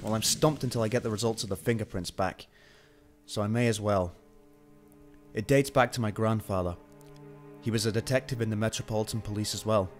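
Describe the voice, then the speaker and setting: A man's voice: fairly low pitch, fairly quick, faint music behind, lightly acted. A man acting a hot-tempered, obsessive, weary police detective in an amateur radio drama, muttering and swearing. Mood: troubled. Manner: brooding, muttering to himself, swearing. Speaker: voice actor in amateur radio play.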